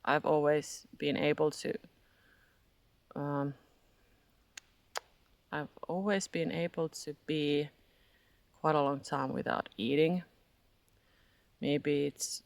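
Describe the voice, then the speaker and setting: A Finnish-accented speaker, native in Finnish, gives a hesitant, reflective spiritual vlog, often searching for words and laughing softly. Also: mid-range voice, vocal fry, no room sound. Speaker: spiritual vlogger. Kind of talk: casual reflective monologue.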